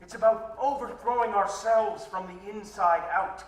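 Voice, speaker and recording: A man performs a defiant revolutionary making a public speech in a staged story. The voice is high, earnest and emphatic, with grave rhetorical questions. It rings in a roomy space, slightly distant from the microphone. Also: normal speed, close to an everyday voice. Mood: indignant, urgent.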